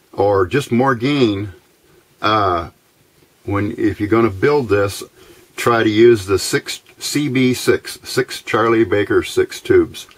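A man with a fairly low, rough-edged voice talks through a hands-on demonstration. His delivery is casual and unscripted, with halting stretches where he thinks out loud. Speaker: hobbyist presenter. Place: indoors at a workbench.

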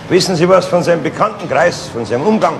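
An actor playing a composed, probing police inspector in a radio crime drama, speaking matter-of-factly. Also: male voice, a little high, expressive, fast, creaky. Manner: matter-of-fact, questioning. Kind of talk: 1970s German radio crime drama acting. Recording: noisy old radio play recording.

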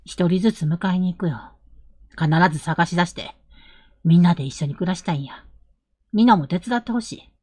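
A woman playing an earnest, protective young man, a rough-spoken elder brother, in a Japanese period audio drama. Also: fairly low pitch, no room sound, studio quality. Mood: earnest, gentle. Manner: earnest, measured, gently insistent.

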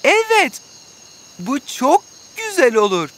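Excitable little dove, unhurried. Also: female voice, high voice, full character voice. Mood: delighted. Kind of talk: animated fairy tale dubbing.

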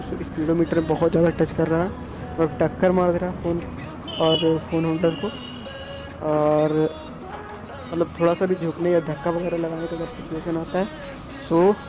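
A young man from India narrating a casual moto vlog from a scooter in traffic, recorded on a phone on his helmet mount. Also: a little high. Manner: rambling, repeats himself, chatty. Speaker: moto vlogger. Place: outdoors on a scooter in road traffic. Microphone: phone on helmet chin mount.

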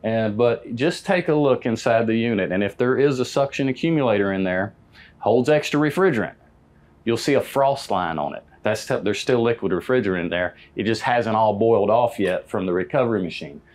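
A man's voice: lowish voice, lively intonation, brisk, darkish tone. Plain-spoken American man giving technical instruction. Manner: plain-spoken, folksy, practical. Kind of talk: hands-on demonstration, casual explanation. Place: indoor parts warehouse. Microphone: lapel mic.

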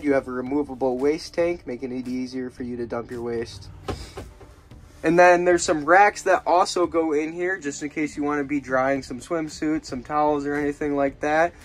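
A young man giving a relaxed, plainspoken walkaround tour of a small camping trailer, filmed on a handheld phone outdoors and then inside. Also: a little high. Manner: relaxed, plainspoken, pointing things out as he goes.

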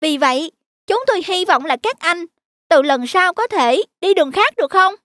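A woman's voice: very high voice, expressive, normal speed, fairly bright tone, dry recording. A woman voicing a small, earnest field mouse in a cartoon, politely pleading. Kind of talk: cartoon dialogue acting.

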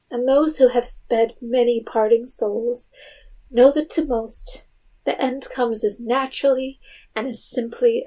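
A woman's voice: on the high side, dark tone, low-quality recording. A woman narrating a literary audio drama in a scripted storytelling style.

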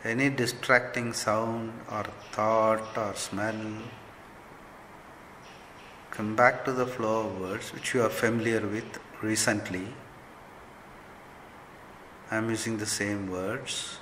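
A man leading a guided meditation as a spiritual teacher, giving gentle instructions in short, measured phrases. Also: on the low side, fairly even intonation. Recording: long hall reverb, faint background noise.

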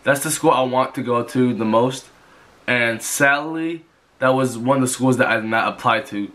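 A man's voice: medium pitch, normal speed, a bit bright. A young man from Georgia, talking casually to camera in his bedroom with a stuffy nose from a cold, rambling through many restarts. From Georgia, USA. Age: young adult. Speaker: vlogger. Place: bedroom, indoors. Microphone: camera in front of him.

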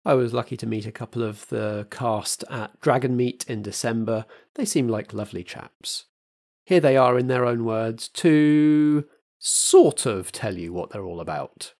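Camp compere, theatrical. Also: male voice, medium pitch, unhurried, darkish tone. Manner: camp, luvvie stage host. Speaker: podcast compere. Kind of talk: theatrical announcements.

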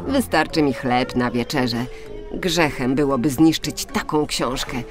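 A woman's voice: a bass voice, a little slow, music in the background. A woman voicing a poor, earnest young male student in a children's storybook, speaking eagerly and emphatically.

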